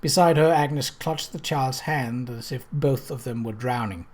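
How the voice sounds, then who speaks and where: A measured narrator reading a novel aloud. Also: medium pitch, a little mellow. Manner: literary, measured storytelling with character dialogue. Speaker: audiobook reader.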